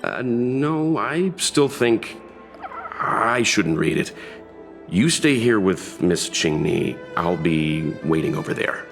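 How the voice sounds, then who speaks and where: A principled, reserved male traveler in a video game. His voice is low and very unhurried, polite but firm, with faint music underneath. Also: expressive, gravelly, heavily acted. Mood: reluctant, firm. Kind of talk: video game voice acting, English dub.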